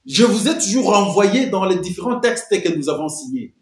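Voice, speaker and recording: A man's voice: sits high, big pitch swings, normal speed, echoey room. A man giving emphatic political commentary, full of rhetorical questions and repeated phrases.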